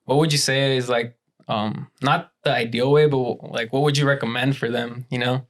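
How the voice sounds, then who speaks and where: A man's voice: mid-range voice, fairly even intonation, moderate pace, a bit bright. A man hosting a podcast interview, laid-back and agreeable, with many fillers and restarts. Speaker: podcast host. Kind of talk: casual interview chat.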